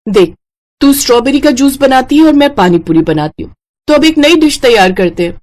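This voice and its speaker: A woman voicing a sharp-tongued, practical elder sister in a children's cartoon story. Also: high voice, expressive, a little fast, voice pushed up. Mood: practical, encouraging. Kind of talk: animated children's story dialogue.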